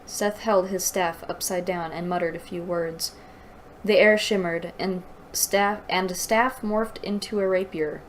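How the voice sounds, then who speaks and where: Slow-paced woman, exasperated reviewer. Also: fairly low pitch, fairly even intonation, a little hiss or hum, poor audio. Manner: exasperated, incredulous. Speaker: host of a book review series. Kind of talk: reading aloud with mocking critical commentary.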